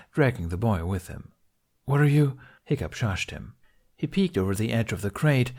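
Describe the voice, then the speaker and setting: A man narrates a story in an expressive, scripted style. His voice sits on the low side, suited to an amateur audio fiction reading. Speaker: podfic narrator.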